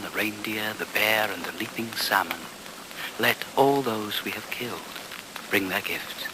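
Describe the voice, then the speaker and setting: An actor, a man, playing a solemn hunter-shaman in a radio play, with a reverent, declamatory, incantatory delivery. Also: on the low side. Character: solemn male shaman of a reindeer-hunting people. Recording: noisy old radio play recording.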